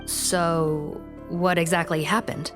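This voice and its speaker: A woman voicing a sharp, skeptical investigator in a video game, matter-of-fact, questioning and a little wry. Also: fairly low pitch, level tone, slow pace, music in the background.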